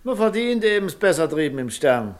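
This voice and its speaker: A self-pleased village servant in a naturalistic radio drama. His voice is high for a man, chatty and coloured by dialect. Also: big pitch swings, moderate pace. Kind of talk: naturalistic German radio play acting in dialect.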